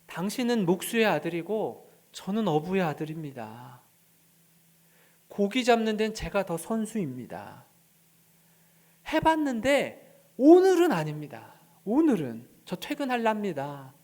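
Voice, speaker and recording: Weary, stubborn fisherman, played by a man, matter-of-fact and polite, with a high, lively voice. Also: unhurried, heavily acted.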